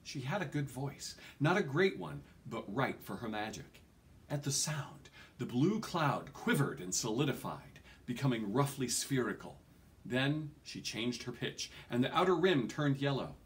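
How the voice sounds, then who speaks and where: A man in his forties reads fantasy fiction aloud with very lively, swooping intonation. His delivery is theatrical and expressive, like a storyteller, at a middling pitch. He is recorded in a small room at home. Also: unhurried. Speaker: reader. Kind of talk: expressive audiobook-style reading of a fantasy novel.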